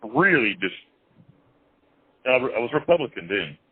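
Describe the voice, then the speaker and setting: A man being interviewed over the phone, telling his life story in a rambling, halting way with many restarts and some blunt, crude wording. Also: mid-range voice, very animated, breathy edge. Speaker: interview guest. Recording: tinny phone line.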